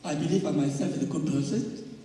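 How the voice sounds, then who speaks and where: A man speaking on a panel, hesitant with many pauses and ums. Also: fairly high pitch, dark tone. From Malaysia. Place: large event hall. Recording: echoey hall, distant mic.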